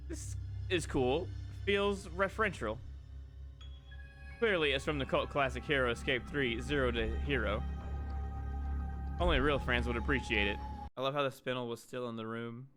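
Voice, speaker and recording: A man's voice: medium pitch, moderate pace, pauses often. A man doing casual, profane playthrough commentary on a game, reading dialogue aloud theatrically with asides of his own. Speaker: streamer reading a visual novel aloud. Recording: music behind the voice.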